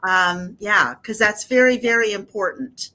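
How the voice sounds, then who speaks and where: A woman's voice: mid-range voice, lively intonation, a little slow, bright, forceful tone. A woman co-hosting a literacy talk show, who talks in an affirming, chatty way and often adds her own agreement. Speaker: co-host. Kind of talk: panel discussion.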